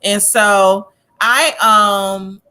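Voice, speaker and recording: Female, American, sharp bright tone, chatty and giggly, recapping like gossip among friends. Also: medium pitch, fairly even intonation, extremely slow. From United States. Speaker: online video channel creator. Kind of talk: casual chat, gossipy recapping.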